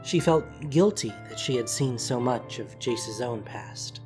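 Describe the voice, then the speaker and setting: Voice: mid-range voice, animated, a little slow. A narrator reading scripted prose for an audio drama. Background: music.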